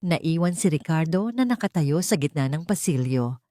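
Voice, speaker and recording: A woman narrates a scripted drama in a slightly low voice. Her delivery is measured and dramatic, and the sound is dry and close. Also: animated, mellow, no room sound. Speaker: audio story narrator.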